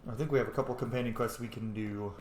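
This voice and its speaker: A man's voice: mid-range voice, fairly even intonation, very fast, a little mellow. A man narrates his roleplay playthrough as a channel host. He talks informally, swears a little and thinks aloud. The recording has a roomy echo with faint noise and game ambience underneath. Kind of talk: casual let's play commentary with roleplay.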